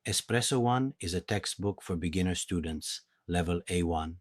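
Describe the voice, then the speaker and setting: A man with an Italian accent teaching a beginner language lesson in a calm, measured, patient way, speaking slowly and clearly. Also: sits low, no echo, studio quality. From Italy.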